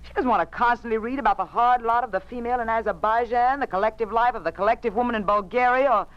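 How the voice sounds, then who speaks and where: An actress playing an indignant, outspoken women's-page editor in a 1950s radio drama, emphatic and articulate in protest. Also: female voice, on the high side, fast talker, close to an everyday voice. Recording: muffled old radio recording.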